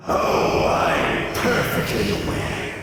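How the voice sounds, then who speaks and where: A man's voice: lowish voice, huge pitch swings, takes it slowly, full character voice. An actor playing an ancient, scheming male dream-creature villain in a game, speaking in a grandiose, theatrical way. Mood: mocking. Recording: echoey hall, distant from mic, loud music. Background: loud music.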